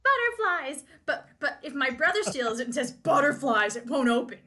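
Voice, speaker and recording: A woman co-hosting a casual podcast, chatting with playful giggles and reading a book aloud with lively emphasis. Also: sits high, normal speed.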